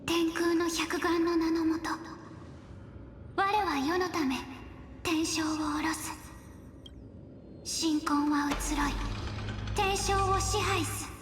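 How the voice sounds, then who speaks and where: A woman voicing a sweet, earnest young priestess-like girl in a fantasy game, soft and solemn. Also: very high voice, fairly even intonation, a little slow, pauses often, roomy sound, long reverb tail, a little hiss or hum. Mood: solemn chanting. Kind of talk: Japanese game voice acting.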